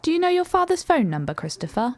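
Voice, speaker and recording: A woman plays a brisk police sergeant in a radio drama. She is polite and procedural, in a very high voice with lively, sing-song movement. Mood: politely brisk.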